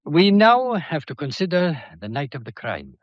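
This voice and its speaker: A methodical police inspector from a radio mystery. His pitch swoops widely as he lays out points with pedantic, wry courtesy and deliberate timing. The sound is dry and rather dull. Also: sing-song, no room sound. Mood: probing, satisfied. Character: methodical, theatrical, politely probing male police inspector. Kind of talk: detective radio drama acting.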